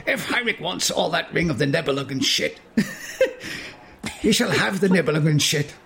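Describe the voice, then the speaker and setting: Woman doing a coarse, gravelly, grumpy old-school wizard. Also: low voice, expressive, unhurried, a bit bright, full character voice. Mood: scornful amusement. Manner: coarse, dismissive. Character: coarse, grumpy male wizard-like fantasy companion.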